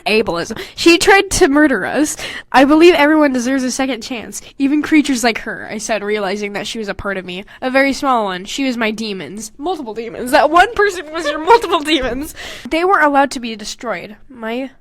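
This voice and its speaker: A young woman hosting a podcast, casually reading aloud and mocking her own writing in an animated, sweary, giggly way. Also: sits high, brisk, no echo. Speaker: podcast host. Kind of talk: casual comedic commentary while reading own old fanfiction aloud.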